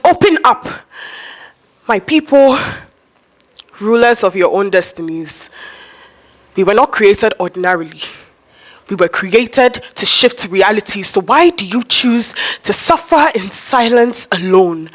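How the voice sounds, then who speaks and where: A teenage girl playing a fervent freedom preacher in a theatrical, impassioned monologue. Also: on the high side. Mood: urgent. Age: teenage student. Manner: declamatory, impassioned. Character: fervent freedom preacher rallying an enslaved people.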